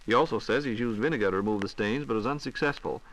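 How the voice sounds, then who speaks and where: A man hosting a 1940s radio question program, reading out listener questions in a polite, crisp announcer manner. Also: medium pitch, fast, a bit bright, poor audio. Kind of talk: semi-scripted 1940s radio question-and-answer. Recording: 1940s radio broadcast recording.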